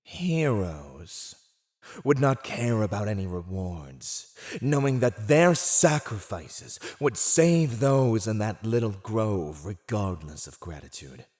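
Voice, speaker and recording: A man playing a silver-tongued villain warlord who taunts a captive in a theatrical, mocking, teasing way for an ASMR roleplay. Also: mid-range voice. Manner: teasing, mocking, theatrical, drawn-out delivery with chuckles.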